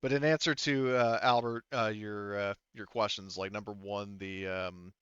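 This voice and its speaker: Rambling man with a low, dull-sounding mic. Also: steady tone, normal speed, a bit bright, clean tone, dry recording. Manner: rambling, repeats "like" and "you know". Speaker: game master. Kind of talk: casual improvised tabletop game narration.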